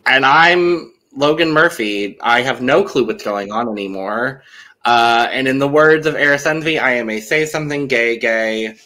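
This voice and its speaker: A man's voice: mid-range voice, normal speed, bright, forceful tone. A man co-hosting a casual podcast, talking in a rambling, hesitant way with dry humor. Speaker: podcast co-host.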